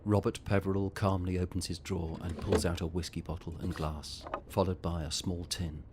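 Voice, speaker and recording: Deep-voiced male audio drama narrator. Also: little pitch movement, moderate pace, very noisy.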